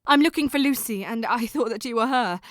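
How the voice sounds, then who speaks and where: A woman's voice: on the high side, brisk, no echo, studio quality, close to an everyday voice. An actress playing an anxious young woman searching for her friend, speaking urgently but politely. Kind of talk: audio drama acting.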